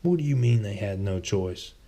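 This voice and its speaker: A man voicing a blunt, bitter, stubborn swordsman in a storybook reading, curt and gravelly. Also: fairly low pitch, lively intonation, moderate pace, warm tone. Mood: scornful. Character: gruff, bitter, stubborn swordsman, old friend.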